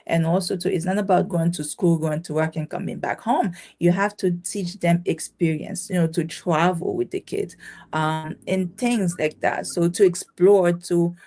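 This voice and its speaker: A woman's voice: on the low side, moderate pace, mellow. A woman born in Haiti, a business owner talking casually in an interview, with many restarts and a ready laugh. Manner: chatty, many restarts and fillers, laughs easily. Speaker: guest, caregiving business owner.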